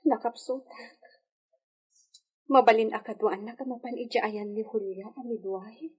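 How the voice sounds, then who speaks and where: Woman as high-voiced, plaintive young lady. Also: a little slow, slightly rough. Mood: weary, doubtful. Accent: Ilocano. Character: weary, insecure pregnant young lady of a hacienda. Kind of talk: Ilocano radio drama acting. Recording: music bed under the voice.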